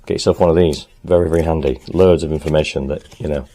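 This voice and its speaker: A man talking casually to the camera in his home workshop, thinking aloud as he works, a little hoarse. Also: rumbling low, fairly even intonation, fast talker, dark tone. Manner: chatty, thinks aloud while working, frequent ums. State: slightly hoarse, clearing throat. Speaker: motorcycle restorer and channel host.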